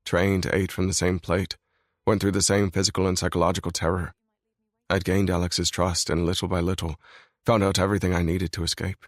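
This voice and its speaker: A man voicing a brooding, controlled mafia boss in a scripted audiobook reading, low and grave. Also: level tone, brisk, dry recording, studio quality, natural voice. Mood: grim. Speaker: male lead voice in audiobook.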